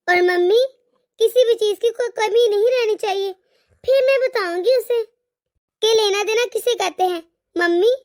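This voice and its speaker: A woman voicing a spoiled married daughter in an animated family drama, demanding, boastful and disdainful. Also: very high-pitched, no room sound, studio quality.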